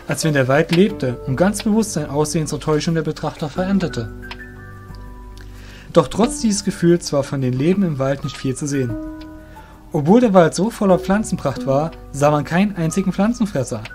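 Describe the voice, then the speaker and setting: A man's voice: fairly high pitch, a little mellow. A man narrating a scripted fantasy audio drama. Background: music.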